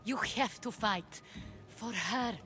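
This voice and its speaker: A woman's voice: on the high side, slow. An actress playing a composed, caring and resolute Greek noblewoman in a dramatic video game, poised and earnest. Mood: compassionate, urgent. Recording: music under the voice.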